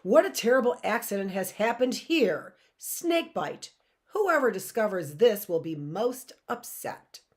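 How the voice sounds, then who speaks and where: A woman's voice: medium pitch, big pitch swings, a little slow. A woman reading aloud, voicing a scheming, menacing man who mocks and snarls at children. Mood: smug. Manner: snarling, mocking.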